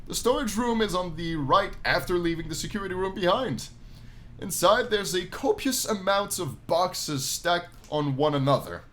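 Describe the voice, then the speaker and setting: Higher-voiced man doing a let's-play. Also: a bit bright, slight background noise. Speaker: let's-play host reading a visual novel aloud. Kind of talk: reading game text with casual asides.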